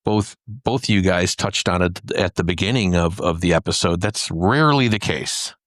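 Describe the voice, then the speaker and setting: A man with a fairly low voice co-hosts a podcast, recorded close and dry. He talks casually and conversationally, often tagging his points to check that others agree. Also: normal speed, a bit bright, dry recording. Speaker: podcast co-host. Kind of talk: casual discussion.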